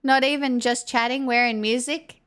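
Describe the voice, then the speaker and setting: A woman's voice: a little high, fairly even intonation, normal speed, a bit bright, clear, resonant tone. A young Australian woman chatting casually and frankly on a live stream, rambling to her viewers; she says her voice feels strained. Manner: rambling, frank, talks to chat.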